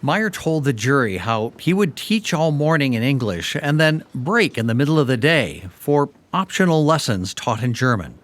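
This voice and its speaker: A man hosting a history podcast, narrating in a clear storytelling manner. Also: mid-range voice, lively intonation, normal speed, few pauses. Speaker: podcast host. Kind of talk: scripted documentary narration.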